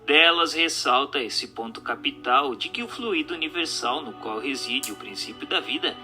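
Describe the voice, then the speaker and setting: A man's voice: mid-range voice, expressive, moderate pace, bright, forceful tone. A man narrating a scripted audio drama in a formal, declamatory manner. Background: music.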